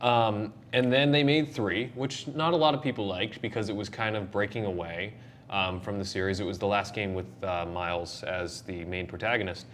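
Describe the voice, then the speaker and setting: A man's voice: on the low side, fairly even intonation, moderate pace, fairly bright tone. Opinionated man chatting over background noise. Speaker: show host. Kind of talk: casual panel chat about games and movies.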